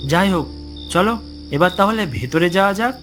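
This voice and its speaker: A man plays a polite, self-assured young man in a horror cartoon tale. His voice is slightly high and very animated, with clear music underneath. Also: normal speed. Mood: reassuring.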